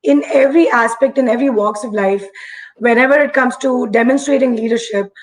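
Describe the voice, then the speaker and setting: A young woman from India with a husky voice that still sits fairly high. She tells her story as an interview guest, earnest and reflective. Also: fairly quick, low-quality recording. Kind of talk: live-streamed conversation.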